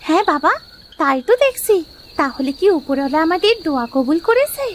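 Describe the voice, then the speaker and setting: A woman's voice: sits high, very animated, a little fast, a little hiss or hum. A woman playing a sweet, dutiful young village girl in a fairy-tale cartoon, coaxing and asking questions. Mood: gentle. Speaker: cartoon voice actor. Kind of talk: Bengali moral fairy-tale cartoon acting.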